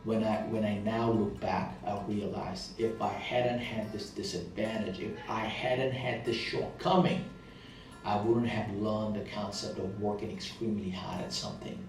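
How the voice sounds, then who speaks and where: A man's voice: on the low side, fairly even intonation, moderate pace, far from the mic. A man with a thick Indian accent, giving an earnest motivational monologue about his past struggles, repeating phrases for emphasis. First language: not English. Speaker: motivational speaker. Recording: very echoey room, voice off-mic, soft guitar music behind.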